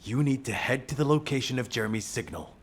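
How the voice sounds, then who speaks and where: A man's voice: medium pitch, fast talker, background noise, lightly acted. A man voicing an urgent, resolute squad leader in a video game, earnest and commanding. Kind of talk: scripted game dialogue.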